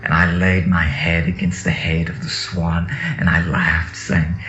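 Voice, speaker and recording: Male reader, solemn and incantatory, very low and unhurried, echoey recording with music behind. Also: flat delivery, fairly bright tone. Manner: slow, incantatory, dramatic. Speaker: reader of sacred texts. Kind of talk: solemn scripted reading of mystical scripture. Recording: echoey, dull audio under music.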